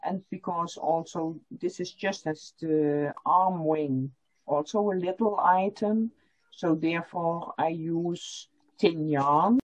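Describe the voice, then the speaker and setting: Slow, husky-voiced woman teaching online. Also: fairly low pitch. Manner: explains step by step, repeating lines in German. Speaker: felting teacher. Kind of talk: online workshop demonstration. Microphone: video call. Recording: slightly dull video call audio.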